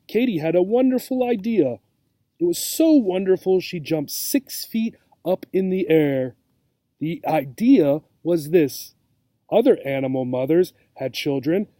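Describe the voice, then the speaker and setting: A man reading a children's story aloud as a teacher, expressively, pausing to check the listener understands. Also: on the high side, dark tone, no echo.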